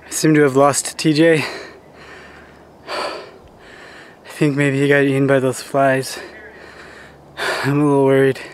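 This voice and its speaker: Brief: young male hiker with wry humor, average pitch, noisy camera sound. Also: completely flat delivery, takes it slowly, long pauses. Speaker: co-host. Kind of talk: casual hiking vlog chat. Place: outdoors on a steep mountain trail. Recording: noisy outdoor camera audio.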